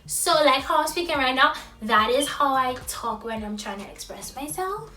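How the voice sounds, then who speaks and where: Young Trinidadian woman vlogger, high bright voice, expressive and quick, echoey room with some distance from the mic. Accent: Trinidadian with American influence. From Trinidad and Tobago. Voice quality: high-pitched youthful voice. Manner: expressive, lively, quick when excited, laughs often. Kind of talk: casual talk to camera.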